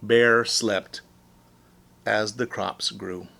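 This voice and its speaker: A man reading a storybook aloud as a teacher, speaking deliberately and simply to young children. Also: lowish voice, fairly even intonation, vocal fry, bright, forceful tone.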